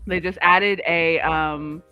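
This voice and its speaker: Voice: medium pitch, steady tone, takes it slowly, smooth, muffled recording, faint music behind. A livestream co-host chatting casually and informally, telling rambling personal stories peppered with filler words. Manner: informal, filler-heavy with frequent "like".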